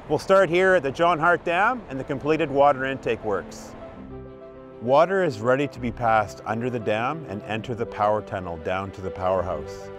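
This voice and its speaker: A middle-aged man, delivering scripted narration as a utility spokesman giving a project update. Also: mid-range voice. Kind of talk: scripted narration and on-site presenting. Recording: music behind the voice.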